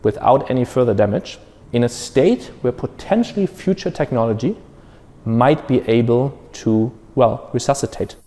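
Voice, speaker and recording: A man explaining in a halting manner during an interview clip, recorded off mic. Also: medium pitch, animated, mellow. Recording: reverberant room, off mic.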